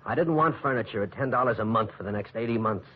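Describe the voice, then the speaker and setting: A man's voice: mid-range voice, fast talker, close to an everyday voice. An actor playing a bitter, defiant young jailed man, American, sardonic and brusque, in 1940s radio style. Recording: muffled old radio recording.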